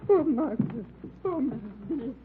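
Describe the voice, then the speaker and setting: An actress playing a grief-stricken, tearful, halting neighbor woman in an old radio crime drama. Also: high-pitched, lively intonation. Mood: sad, subdued. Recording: muffled crackly old radio recording.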